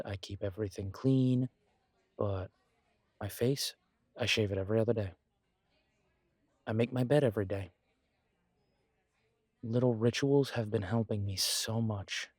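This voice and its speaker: A man's voice: on the low side, a little mellow, dry recording. A man playing a supportive, self-deprecating best friend in a conversational roleplay audio, talking in a reassuring, reflective way. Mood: encouraging. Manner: reassuring, chatty, reflective pauses. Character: warm, encouraging male best friend, self-deprecating, gently earnest.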